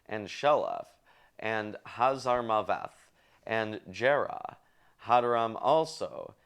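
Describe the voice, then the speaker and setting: A man with a low, smooth voice reads aloud at a very slow, deliberate pace. He delivers items like a list and drops in casual asides as a host. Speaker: show host reading scripture aloud. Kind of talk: halting read-aloud of Bible genealogy with casual asides.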